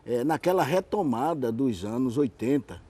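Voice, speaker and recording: Lively-pitched, mellow male host. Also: normal speed, slightly rough. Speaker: TV interview host. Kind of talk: conversational interview.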